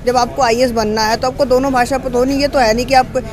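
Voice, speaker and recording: A man's voice: very high-pitched, steady tone, fast talker. A man from Delhi, a civil services aspirant, speaking emphatically in a street interview and mixing English phrases into his talk. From Delhi, India. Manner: emphatic, talkative, mixes English phrases into Hindi. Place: busy city street outdoors. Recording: busy crowd noise outdoors.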